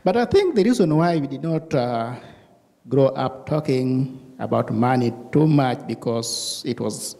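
A middle-aged man from Tanzania with an East African accent, recalling his life haltingly into a handheld microphone in a reverberant church. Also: medium pitch, animated, vocal fry, warm tone. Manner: halting, pausing often. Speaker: church member being interviewed. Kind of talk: personal recollection in an interview. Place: indoor church sanctuary.

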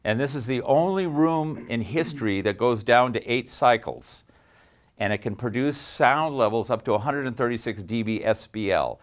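An older man giving a technical demonstration as an audio engineer, explaining emphatically and proudly. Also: medium pitch. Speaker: audio engineer presenting his design. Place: small acoustically treated home theater room.

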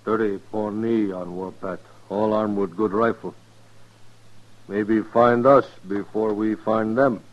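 Slow, terse army scout. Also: male voice, lowish voice, fairly even intonation. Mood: wary. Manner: clipped broken English, terse. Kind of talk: 1950s radio western acting. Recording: dull lo-fi old radio recording.

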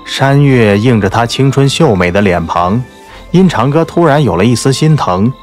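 A man's voice: medium pitch, expressive, normal speed, faint music behind. A man narrating a novel as a scripted literary audiobook.

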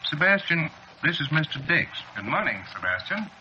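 Eerie, sharp, sing-song polite intruder. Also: male voice, on the high side, big pitch swings, moderate pace, full character voice. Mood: brisk, polite. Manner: smoothly polite, insinuating. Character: eerie, mockingly polite male apparition, calmly menacing intruder. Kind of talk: 1940s radio acting. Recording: dull, noisy old radio recording.

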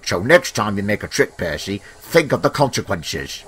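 A man's voice: on the low side, big pitch swings, normal speed, fairly bright tone, husky, heavily acted. Man as a stern, emphatic railway boss. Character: stern, authoritative male railway controller. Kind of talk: children's storybook character acting. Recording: music playing behind the voice.

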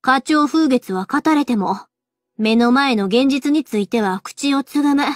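A high, candid young fireworks girl with a Kansai-style accent who talks freely, as though thinking aloud. The recording is close and dry. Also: a little slow, no echo. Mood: musing. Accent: Kansai-style dialect. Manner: candid, chatty. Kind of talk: game character voice line.

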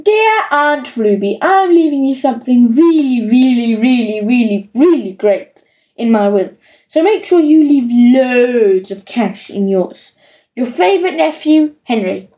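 A woman's voice: high voice, expressive, measured pace, warm tone, distant mic. A woman voicing a greedy, bossy young boy in a storybook, gleefully insulting and emphatic. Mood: wheedling, scheming. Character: bossy, greedy, scheming young boy dictating his will.

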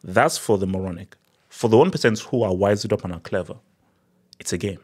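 Thirties Nigerian-accented male commentator; opinionated, sarcastic, animated; small-studio desk-mic sound. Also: on the low side, brisk, slightly rough. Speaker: political commentary vlogger. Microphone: desk mic on boom arm.

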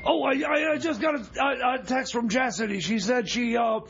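Man doing a high-pitched, creaky, flustered radio sidekick. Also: moderate pace, a bit bright, exaggerated voice. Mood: excited, flustered. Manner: stammering, flustered, repetitive. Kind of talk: improvised comedy radio skit. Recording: muffled radio recording, music behind.

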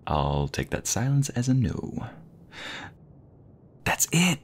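A man playing a playful, doting young husband in an ASMR roleplay, with a breathy voice that often slips toward a whisper and a teasing, affectionate delivery. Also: mid-range voice, big pitch swings, a little hiss or hum. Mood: mock defeat. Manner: teasing, affectionate, drawn-out delivery with pet names.

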